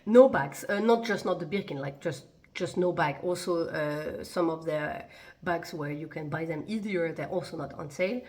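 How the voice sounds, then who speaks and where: A woman's voice: sits low, normal speed, darkish tone. A young adult woman vlogger chatting casually to camera, rambling with frequent ums and self-corrections. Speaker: fashion vlogger.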